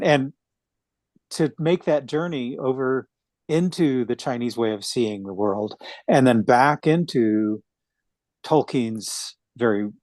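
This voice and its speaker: A man's voice: mid-range voice, a little mellow, no room sound. A man moderating an authors' roundtable, reflective, linking long thoughts before putting a question to the panel. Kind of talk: academic roundtable discussion.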